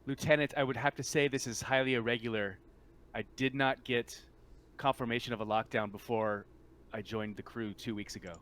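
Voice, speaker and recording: A man's voice: mid-range voice, fairly even intonation, brisk, a bit bright, lightly acted. A man playing a stiff, cautious corporate liaison in a tabletop game, speaking formally and hesitantly. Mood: formal, wary.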